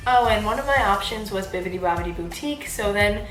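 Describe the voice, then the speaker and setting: A young woman talks casually to her viewers, handing out advice in an animated, bubbly way. Her voice sits at a medium pitch for a woman. The room adds noticeable echo, and soft music plays faintly underneath. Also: fast talker. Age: 20s. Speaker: vlogger giving application advice. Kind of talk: casual chatty advice vlog.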